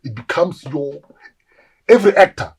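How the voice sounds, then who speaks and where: A South African man in his forties, an actor telling animated stories in a casual interview and acting out the voices of people he quotes. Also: a little high, normal speed, husky. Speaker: actor guest.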